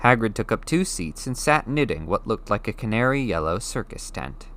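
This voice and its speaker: A man's voice: lowish voice, sing-song, moderate pace, fairly bright tone, no echo. A man reading a children's novel aloud as scripted audiobook narration.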